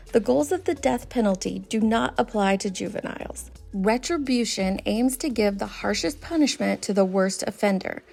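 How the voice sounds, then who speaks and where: A woman reads her commentary script in an earnest, critical tone. Her voice sits fairly high with a gravelly, creaky edge. Faint music plays under her. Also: a little mellow. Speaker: commentary channel host.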